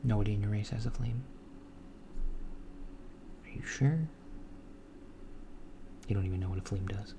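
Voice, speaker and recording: A man voicing a calm alien doctor in a softspoken ASMR roleplay, talking in a hushed, partly whispered way with an unhurried, hesitant manner. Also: on the low side, a little hiss or hum, natural voice. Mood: calm clinical. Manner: unhurried, hesitant, with many fillers and murmured acknowledgments. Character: calm alien doctor examining a human patient.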